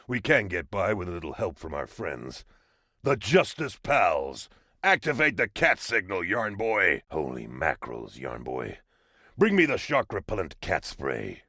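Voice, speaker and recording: A grandiose comic-book superhero for a parody video game. His low, forceful voice declaims with melodrama and big swings in pitch. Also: creaky, husky, no room sound, studio quality, full character voice. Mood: grave, dramatic.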